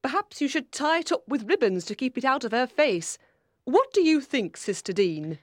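A woman's voice: high-pitched, very animated, moderate pace, no echo, clean studio sound, voice pushed up. A woman voicing a stern, disapproving older aunt in an audiobook, with a haughty, critical way of talking. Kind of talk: audiobook character voice.

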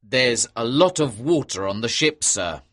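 Woman doing a calm young ship officer guy for an audiobook, low voice, slow n measured, really earnest. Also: dry recording, studio quality, heavily acted. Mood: reluctant, respectful. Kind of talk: audiobook character dialogue.

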